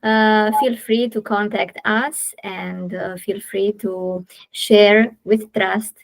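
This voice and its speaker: Podcast host, woman, Romanian accent, mid pitch, unhurried speech full of fillers. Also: steady tone, vocal fry, poor audio. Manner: slow and thoughtful, many "uh" fillers. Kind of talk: casual interview chat.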